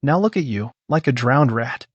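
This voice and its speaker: A man's voice: medium pitch, expressive, moderate pace, husky, dry recording, dull audio. A man playing a scheming, bullying middle-aged company manager in a melodrama, scornful and blustering. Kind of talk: dubbed melodrama acting.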